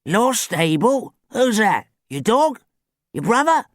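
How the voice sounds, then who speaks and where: A man's voice: sits high, very animated, takes it slowly, no room sound, clean studio sound, pitched above the performer's own voice, heavily acted. A man voicing a plump, genial, puzzled elderly park superintendent with a wheezy voice in a children's story.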